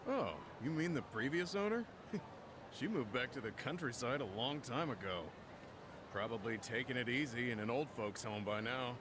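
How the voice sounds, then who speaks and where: Game dub male actor: everyday shopkeeper, medium pitch, casual and helpful, outdoor city noise. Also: lively intonation, moderate pace, lightly acted. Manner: casual, conversational. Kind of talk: English video game dub acting. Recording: clear street and traffic noise.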